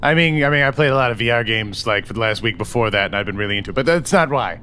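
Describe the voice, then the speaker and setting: A man playing a manic, jittery shadowrunner in a tabletop game, rambling and cursing, swinging between hushed menace and outbursts. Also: mid-range voice, expressive, racing, a little hiss or hum. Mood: defensive, irritated. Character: manic, trigger-happy coffee-making shadowrunner man, jittery and volatile. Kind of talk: improvised tabletop roleplay.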